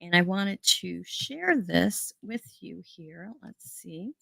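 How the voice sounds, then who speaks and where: Woman, American, host, low voice, dry close sound, earnest casual chat, many fillers. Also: lively intonation, takes it slowly, creaky, pushed and bright, husky, no room sound. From United States. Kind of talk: casual talk to viewers.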